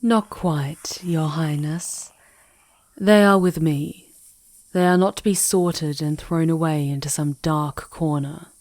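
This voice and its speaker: A woman playing a composed, regal and protective demoness guide in an ASMR fantasy roleplay, speaking in a measured, hushed, poised way. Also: sits low, dry recording. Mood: firm, protective.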